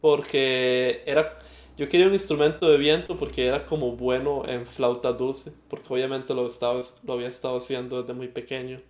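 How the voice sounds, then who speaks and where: A man's voice: medium pitch, little pitch movement, fairly quick, echoey room. A young Costa Rican man with a Costa Rican accent, telling his story as an interview guest, reflective and hesitant with fillers. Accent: Costa Rican Spanish. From Costa Rica. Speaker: guest musician.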